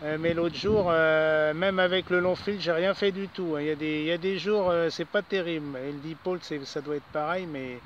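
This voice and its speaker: Male amateur radio operator, high-pitched, casual exchange, muffled outdoor recording. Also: fairly even intonation, normal speed, few pauses. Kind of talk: casual radio contact chat. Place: outdoors in a park. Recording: band-limited outdoor radio recording, faint noise.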